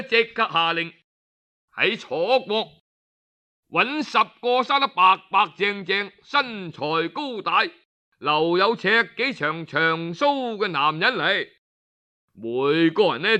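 A man with a Cantonese accent telling a historical tale in an expressive, colloquial oral storytelling style. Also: sits high. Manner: expressive, colloquial, acts out dialogue. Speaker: storyteller narrator.

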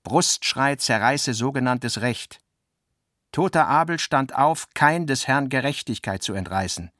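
A man playing a literary narrator in a radio drama, measured and articulate, acting out the dialogue he quotes. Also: medium pitch, expressive, a bit bright, dry recording, clean studio sound. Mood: ironic, declaiming. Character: literary male narrator recounting and quoting his guest's talk.

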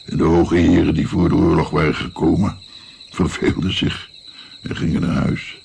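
A man's voice: rumbling low, flat delivery, normal speed, gravelly, darkish tone, a little hiss or hum, exaggerated voice. An actor playing an older musician and physician, recalling his naive youth in a reflective, hesitant, wry way in a radio play.

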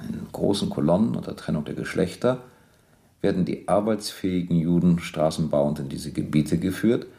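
A man's voice: deep voice, creaky, warm tone. An actor playing a methodical male police captain in a radio-play interrogation, formal, precise and insistent.

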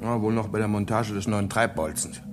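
An actor playing a grumpy, sarcastic space engineer in a radio drama, blunt and sardonic. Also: male voice, lowish voice, fairly quick, close to an everyday voice. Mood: matter-of-fact. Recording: clearly noisy background ambience.

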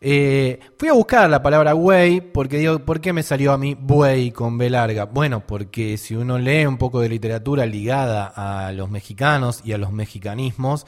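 A man's voice: medium pitch, normal speed, hardly any pauses. Argentine man hosting a radio show. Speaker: radio host. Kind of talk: conversational music commentary.

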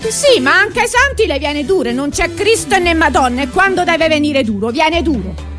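Theatre role: actress as earthy, defiant unwed mother, high and forceful voice, stubborn, plaintive edge. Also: animated, moderate pace. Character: coarse, defiant, wounded single mother. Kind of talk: theatrical stage acting. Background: music.

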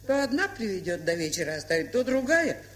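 A woman's voice: mid-range voice, very animated, natural voice. An older woman playing a kindly, chatty village aunt in an audio play, telling stories in a plain, folksy way. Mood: warm reminiscing. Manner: folksy, unhurried storytelling with fond scolding. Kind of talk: audio play monologue and dialogue. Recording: hissy, humming 1970s record.

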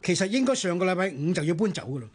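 A man's voice: sits high, big pitch swings, rapid-fire, noisy background. A man playing a formal, officious lawyer in a radio drama, procedural and unbending. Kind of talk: Cantonese radio drama acting.

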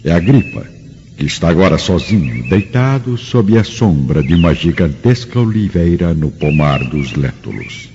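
A man's voice: sits low, big pitch swings, moderate pace, mellow, slight background noise. A man with a Brazilian accent giving dramatic scripted narration for a radio drama. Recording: muffled radio production with music.

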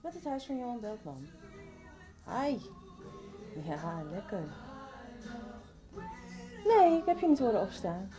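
An easygoing young woman in a radio soap, drowsy and casual. Her highish voice moves freely up and down, with music playing clearly behind her. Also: sing-song, takes it slowly, long pauses. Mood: relaxed, sleepy. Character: sleepy, easygoing young woman at home on the phone.

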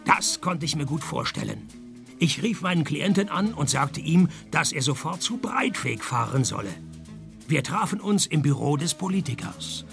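A man playing a laconic, deadpan private detective who also narrates a radio play, in a husky voice. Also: on the high side, normal speed, soft background music, full character voice. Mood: laconic narration. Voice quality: husky, gravelly. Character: male private detective, dry, unimpressed, probing, also the narrator. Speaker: lead actor and narrator. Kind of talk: scripted radio detective comedy.

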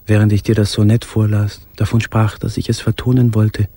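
An actor playing a brooding, tender musician in a literary radio drama, speaking in an intimate, measured way with a husky voice. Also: male voice, on the low side, flat delivery, warm tone, no room sound, natural voice. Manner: intimate, measured, sometimes dropping to a whisper. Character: brooding, tender male musician writing to his beloved. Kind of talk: literary radio drama monologue with recited verse.

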